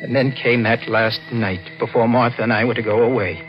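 A man's voice: medium pitch, steady tone, a little fast, highs cut off, lightly acted. An actor playing a guilty, nervous, morose man in a 1940s radio mystery, speaking with brooding, dramatic delivery. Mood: brooding, confessional. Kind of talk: 1940s radio mystery acting, narration and dialogue. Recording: old radio broadcast with music behind.

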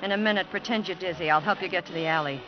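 A woman's voice: fairly low pitch, rapid-fire, natural voice. Actress as scheming bar girl, urgent, clipped, secretive, 1940s radio drama, muffled recording, music behind. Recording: dull vintage radio recording.

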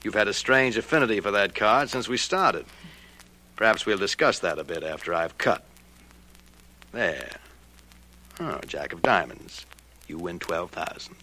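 An actor playing a smooth, wary gambling-ship owner in a 1940s radio drama, speaking in a measured, sardonic way with hesitations. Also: male voice, deep voice, lively intonation, bright, forceful tone, exaggerated voice. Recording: noisy 1940s radio recording.

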